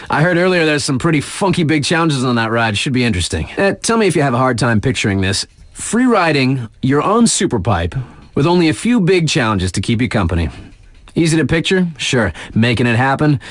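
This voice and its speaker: A man's voice: mid-range voice, big pitch swings, normal speed, no room sound, close to an everyday voice. An actor playing a laid-back, wry young male radio DJ for a snowboard game, with slangy, offhand, casual patter. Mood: upbeat, wry. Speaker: radio DJ on a ski mountain station.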